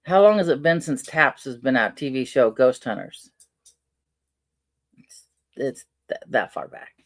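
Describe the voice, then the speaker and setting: A middle-aged man with a soft voice, chatting casually while he unpacks and crafts. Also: medium pitch, lively intonation, a little slow, no echo, clean studio sound. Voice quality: soft-spoken. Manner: rambling, chatty, many fillers and restarts. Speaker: vlogger unboxing and crafting.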